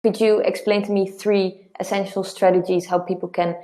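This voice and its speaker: A woman's voice: medium pitch, level tone, moderate pace, dark tone, reverberant. A woman hosting an interview as content producer, reading a scripted intro and asking questions.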